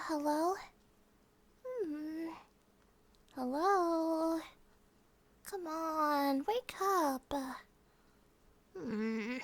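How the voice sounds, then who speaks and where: A woman voicing an affectionate, playful bear-girl wife in a gentle, rambling, intimate ASMR roleplay, often dropping toward a whisper. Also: high-pitched, full character voice. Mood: playful coaxing. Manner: gentle, doting, hesitant rambling with soft giggles.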